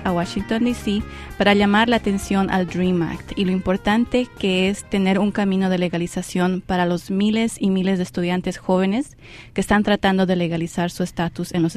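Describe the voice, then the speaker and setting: A woman hosting a weekly interview podcast, speaking formally and welcoming her guests. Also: medium pitch. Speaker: podcast host.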